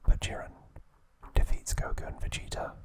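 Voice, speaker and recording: A man whispering an ASMR recap of trivia, pausing now and then to correct himself. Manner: slow soft whisper, occasional self-corrections. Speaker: ASMR host.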